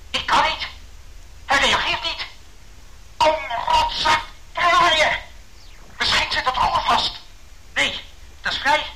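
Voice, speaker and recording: A man's voice: extremely high pitch, pushed and bright, exaggerated voice. A man playing a tense bomber pilot, clipped and urgent, in echoing cockpit dialogue from a radio play. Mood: panicked. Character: tense bomber pilots struggling with a failing aircraft.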